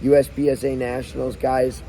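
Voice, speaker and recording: A man's voice: mid-range voice, moderate pace, music in the background, rough recording. A man co-hosting a podcast, chatting energetically in a casual recap of sports results and leading the talk. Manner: energetic, talkative, leads the segments. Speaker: podcast co-host.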